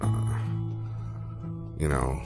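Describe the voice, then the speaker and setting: A man playing a jilted, rambling country farmhand in an improvised comic monologue, deadpan and folksy, halting as he goes. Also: a bass voice, vocal fry, loud music behind the voice, full character voice. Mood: wounded, stubborn. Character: jilted rural old-time farmhand, rambling, wounded, stubborn. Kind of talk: improvised spoken monologue over guitar.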